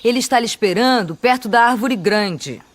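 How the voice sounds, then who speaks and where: A woman's voice: a little high, expressive, quick pace. A woman playing a patient, helpful local in a comic radio drama. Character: patient, helpful Brazilian local receiving a visitor. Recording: noticeable ambient background noise.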